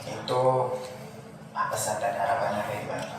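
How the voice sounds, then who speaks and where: Young low-voiced man, very echoey room. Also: fairly even intonation, normal speed. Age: young adult. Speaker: student interviewer. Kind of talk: casual interview with short acknowledgements. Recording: very echoey room, distant mic, ambient noise.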